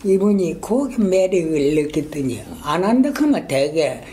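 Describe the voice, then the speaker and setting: An older man with a strong Gyeongsang dialect, a retired school principal telling his story in a halting, rambling way in an oral history interview. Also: on the high side, sing-song, dark tone. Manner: halting, rambling, many fillers and restarts.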